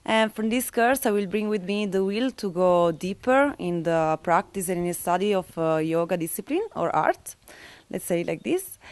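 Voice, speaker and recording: A woman's voice: lowish voice, dry recording. A woman from Italy giving an earnest testimonial. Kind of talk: short testimonial.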